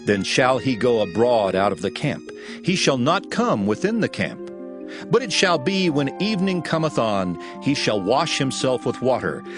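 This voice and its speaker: A man reading scripture aloud as a narrator, solemn and measured, in a dramatized style. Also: mid-range voice. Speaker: Bible narrator.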